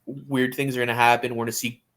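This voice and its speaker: A man's voice: mid-range voice, little pitch movement, quick pace, a bit bright. A man co-hosting a sports podcast in casual, opinionated conversation, often restarting his phrases. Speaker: soccer podcast co-host.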